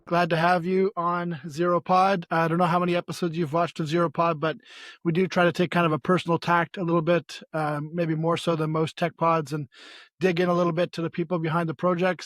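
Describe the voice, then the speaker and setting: A fairly high-voiced man hosts a podcast and asks his guest questions in a chatty, friendly way. He fills gaps with uhs and restarts. The sound is dry, with no room around it. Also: a bit bright, no echo. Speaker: podcast host. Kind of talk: casual interview questions.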